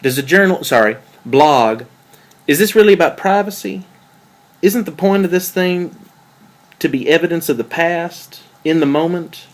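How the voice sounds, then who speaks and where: A man's voice: a little high, normal speed, lightly acted. A man playing a jealous, wry and insecure boyfriend in a table read of a stage play, conversational and questioning. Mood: probing.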